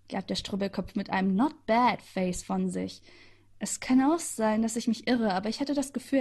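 Woman reading a chatty first-person story. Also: mid-range voice. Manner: chatty, sarcastic asides. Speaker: narrator.

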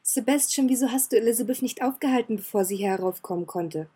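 A cold, sulky boy earl who clips his words short and keeps a sarcastic bite, read by a woman. The voice stays mellow and a little husky, close to the reader's own. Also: a little high, fast.

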